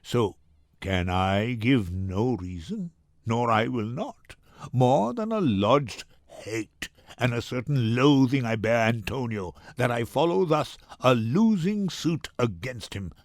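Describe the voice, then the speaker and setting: A man acting a vengeful, defiant older moneylender in a deliberate, biting Shakespearean dramatic reading. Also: mid-range voice, sing-song, dry recording, clean studio sound. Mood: taunting defiance.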